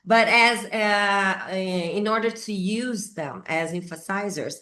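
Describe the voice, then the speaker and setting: Slow-paced Brazilian woman teaching English. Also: mid-range voice, bright, forceful tone. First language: Portuguese. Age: middle-aged. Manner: halting, repeats key words, thinks aloud. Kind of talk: informal whiteboard grammar lesson.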